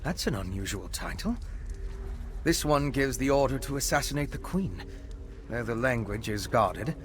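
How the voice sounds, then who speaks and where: An actor playing a wry, refined vampire scholar in a video game, speaking in an articulate, measured, bookish way. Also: male voice, medium pitch, lively intonation, soft background music, close to an everyday voice. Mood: studious, grave. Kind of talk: video game character dialogue. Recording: game ambience behind the voice.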